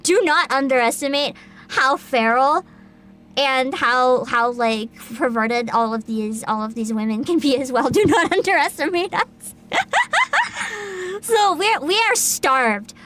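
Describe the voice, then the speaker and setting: A woman hosting a casual livestream chat, giggly, excitable and expressive, with music behind her. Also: high-pitched, a little slow. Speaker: livestream host, VTuber.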